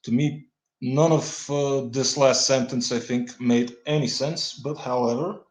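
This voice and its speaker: A Bulgarian man reading a dense political text aloud, stumbling over words and adding deadpan asides. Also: medium pitch, unhurried, roomy sound. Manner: stumbling, restarts words, deadpan wry comments. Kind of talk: halting read-aloud with dry asides.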